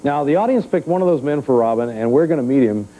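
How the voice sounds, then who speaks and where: A man's voice: medium pitch, expressive, quick pace, dark tone, poor audio. A man hosting a dating show in front of a studio audience, chatty and teasing with the guests. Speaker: game show host. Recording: old TV studio broadcast with noise.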